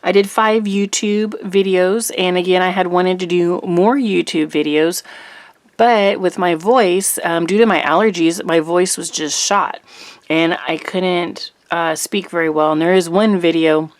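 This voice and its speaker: Chatty woman with a slightly rough voice. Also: lowish voice, fairly even intonation, measured pace, no room sound. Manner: chatty, rambling, frequent ums and little laughs. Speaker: crafting channel host. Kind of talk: casual chat to camera.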